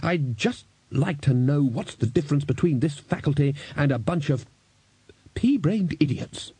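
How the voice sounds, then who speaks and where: A man's voice: fairly high pitch, animated, moderate pace, mellow, breathy edge, no echo, pitched above the performer's own voice. A man voicing a blunt, exasperated, thick-skinned old wizard archchancellor in an audiobook. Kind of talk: audiobook character voice.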